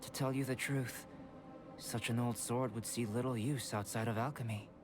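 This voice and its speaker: An actor voicing a composed young male alchemist who explains things in a measured, precise way. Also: mid-range voice, fairly even intonation, noisy background, lightly acted. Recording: game cutscene with music behind.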